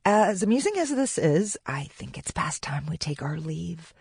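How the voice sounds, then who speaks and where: A narrator playing a tough, wry, streetwise young woman in an audiobook, speaking briskly. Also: mid-range voice, big pitch swings, mellow, breathy edge, no room sound, studio quality, pitched above the performer's own voice.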